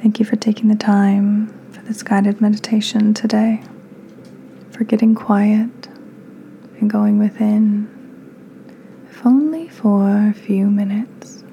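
A woman hosting a guided meditation in a soft, breathy, near-whispered voice, calm and soothing with unhurried pauses. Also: medium pitch, muffled recording, a little hiss or hum. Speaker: podcast host, meditation guide.

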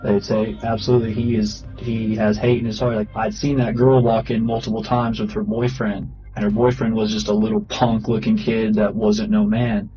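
A young American man in a police interrogation, rambling through a self-justifying, repetitive confession in a husky voice. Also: fairly low pitch, level tone, moderate pace, few pauses. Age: young adult. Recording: muffled interrogation tape with music underneath.

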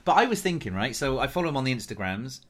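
A man's voice: very animated, fast talker, crowd noise. A man co-hosting a podcast in casual, jokey chat. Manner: jokey, breaks into high falsetto singing impressions. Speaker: podcast co-host.

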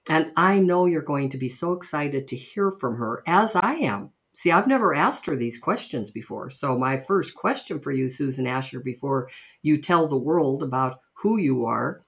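A woman's voice: very deep voice, lively intonation, normal speed. A woman hosting a podcast, introducing her guest and asking questions with enthusiasm. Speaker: podcast host and coach. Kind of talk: conversational interview.

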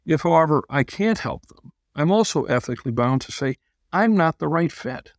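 A man's voice: fairly high pitch, lively intonation, moderate pace, no echo, studio quality. A man speaking earnestly and matter-of-factly as a podcast guest. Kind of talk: interview clip.

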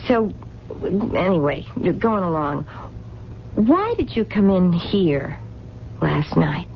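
An actress playing a hopeful working-class waitress in a radio drama, with colloquial diction and an earnest, pleading way of talking. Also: female voice, on the low side, animated, takes it slowly, creaky, exaggerated voice. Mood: tender, hopeful. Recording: dull, noisy 1970s radio recording.

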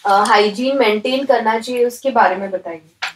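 A woman's voice: a little high, normal speed, a little hiss or hum. Polite woman interviewer, echoey room. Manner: polite, hesitant questioning. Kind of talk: interview questions.

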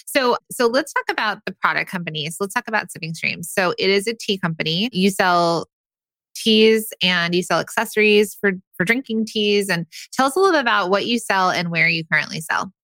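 Woman, American accent, very bright tone, dry close sound, casual co-host. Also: mid-range voice, lively intonation, fairly quick, no room sound. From Los Angeles. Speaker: interview co-host. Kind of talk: casual conversational interviewing.

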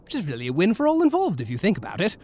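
An actor playing a pompous, excitable Victorian detective hungry for fame, grandiose and theatrical in an audio comedy. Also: male voice, high-pitched, rapid-fire, exaggerated voice. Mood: scheming, persuasive. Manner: grandiose, theatrical, self-important.

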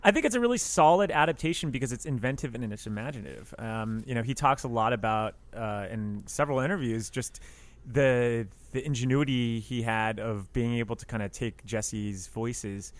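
A man co-hosting a podcast, chatting casually about film in a halting, rambling way. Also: mid-range voice. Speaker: podcast co-host.

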